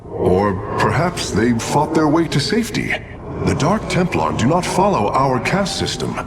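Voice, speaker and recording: An actor playing a calm, deferential alien engineer in a video game, speaking in a measured, formal way. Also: male voice, medium pitch. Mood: analytical. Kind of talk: dramatic video game voice acting. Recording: clear ambient noise behind.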